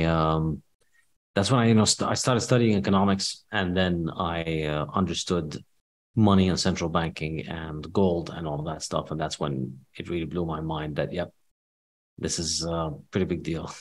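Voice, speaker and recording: A man in his forties from Palestine, an economist answering interview questions in a measured, matter-of-fact way, with frequent ums. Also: low voice. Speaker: guest, economist and author. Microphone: studio mic on video call.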